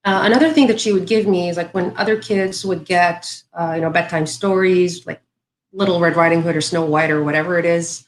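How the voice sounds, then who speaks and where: A woman's voice: fairly low pitch, steady tone, brisk, reverberant, a little hiss or hum. A woman in her thirties from Saudi Arabia, a guest telling her story in a casual interview, talking with many fillers and restarts. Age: 30s.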